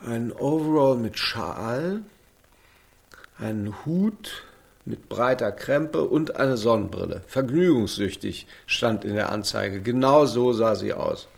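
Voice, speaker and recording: A man's voice: medium pitch, expressive, takes it slowly, gravelly, husky, full character voice. An actor playing a lonely, tipsy middle-aged father in a radio drama, wry and bitter, with a rambling, self-mocking way of talking. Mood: self-pitying, wry. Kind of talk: naturalistic radio drama acting.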